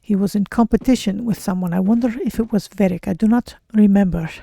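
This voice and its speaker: Woman playing a female Khajiit game character, cat-like adventurer, soft mellow tone, careful, measured, self-directed musing. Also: mid-range voice, creaky. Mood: thoughtful. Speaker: streamer voicing her game character. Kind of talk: in-character game playthrough narration.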